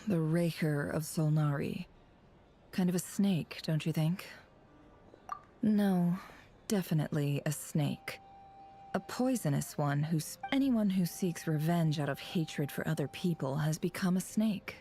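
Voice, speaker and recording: Game spy: guarded, cynical, low mellow tone, measured wry delivery, faint music underneath. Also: female voice. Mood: brooding. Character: sly, guarded, cynical female intelligence operative. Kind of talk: dramatic video game voice acting.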